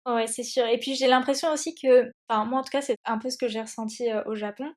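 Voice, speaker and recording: A woman's voice: on the high side, steady tone, quick pace. A young woman hosting a podcast, chatting casually with fillers and frequent laughs. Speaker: podcast host. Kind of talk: casual conversational interview.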